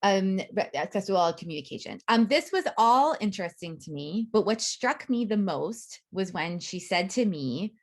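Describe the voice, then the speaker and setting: A middle-aged woman gives an academic faculty talk in a measured way, reading prepared remarks with frequent ums. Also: mid-range voice, lively intonation. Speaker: academic speaker.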